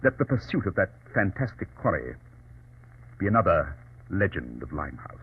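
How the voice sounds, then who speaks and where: An actor playing a brilliant, self-assured detective, formal and sardonic in 1940s radio drama style. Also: male voice, sits low, sing-song, moderate pace, phone-quality sound. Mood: reflective, secretive. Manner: crisply formal, sardonic, theatrical. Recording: thin, scratchy old radio recording.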